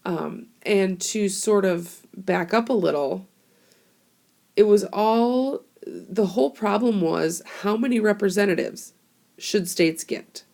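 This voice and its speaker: A woman's voice: medium pitch, slow pace, gravelly, darkish tone. A young woman teacher with a cold, giving a casual, chatty recorded history lesson and explaining with fillers into a tablet microphone. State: hoarse from a cold. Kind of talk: classroom screencast lesson.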